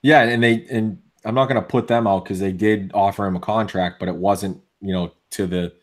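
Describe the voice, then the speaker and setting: A man with a Boston accent co-hosting a casual sports podcast, opinionated and full of restarts, with a voice going hoarse. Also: on the low side, steady tone, normal speed. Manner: opinionated, many restarts and fillers. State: losing his voice. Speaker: podcast co-host.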